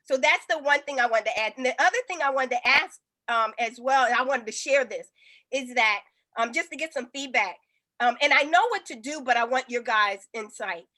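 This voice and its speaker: A woman's voice: high-pitched, a little fast, sharp tone. A woman consulting for small businesses, talking in a rambling way with many ums and restarts on a casual group video call.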